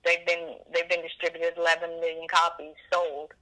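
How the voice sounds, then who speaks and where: A woman in her seventies reminiscing as an interview guest, animated and emphatic, often rambling and repeating herself. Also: fairly low pitch, moderate pace, low-quality recording. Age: 70s.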